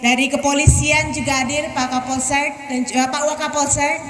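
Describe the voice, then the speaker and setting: A woman's voice: sits high, flat delivery, moderate pace, fairly bright tone. A woman around thirty giving a chatty, teasing speech to students at a school visit. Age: about 30. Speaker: guest speaker visiting a school. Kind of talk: lively speech to students, joking and quizzing. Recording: echoey hall with crowd noise.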